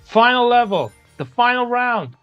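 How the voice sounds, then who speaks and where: A man's voice: very high voice, lively intonation, unhurried, pushed and bright, lo-fi sound, faint music behind. A man in his thirties streaming a game, calling out gameplay with short remarks. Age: 30s. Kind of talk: casual gaming chat.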